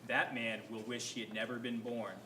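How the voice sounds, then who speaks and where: A man's voice: mid-range voice, level tone, normal speed, lightly acted. A man acting a grave Jesus in an echoey room. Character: Jesus, grave and sorrowful male teacher. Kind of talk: reader's theater scripture reading. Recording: echoey room, faint background noise.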